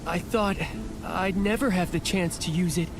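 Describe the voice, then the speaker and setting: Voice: medium pitch, normal speed, close to an everyday voice. An actor voicing an earnest, timid but brave young misfit guardian in a video game, sincere and a little hesitant. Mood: heartfelt. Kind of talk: video game cutscene acting. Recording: music behind the voice.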